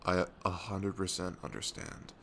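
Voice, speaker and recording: A man playing a devoted, goofy young best friend in an ASMR audio roleplay, earnest and nervously lovestruck, with a soft velvety voice. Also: deep voice, creaky, slight background noise, heavily acted. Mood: hesitant, pained. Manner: hesitant, halting, earnest.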